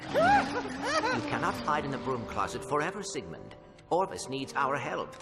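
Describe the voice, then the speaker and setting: An earnest little robot sidekick in a video game. The diction is formal and precise, with very wide swings of pitch. It echoes in a hall over loud music. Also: male voice, huge pitch swings, a little slow, exaggerated voice. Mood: earnest, pleading. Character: small, polite, earnest male robot sidekick. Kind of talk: video game cutscene acting.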